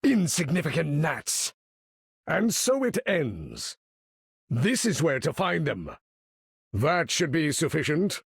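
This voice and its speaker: Imperious, gravelly older supervillain. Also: male voice, on the high side, huge pitch swings, slow pace, long pauses, no room sound, clean studio sound, exaggerated voice. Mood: disdainful. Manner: pompous, theatrical, formal diction. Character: imperious older male supervillain commanding a battle. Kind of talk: video game voice lines.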